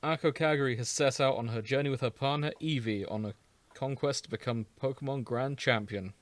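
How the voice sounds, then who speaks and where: A man's voice: mid-range voice, a little fast, no echo. A man co-hosting a podcast, chatting casually about fan fiction. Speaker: podcast co-host.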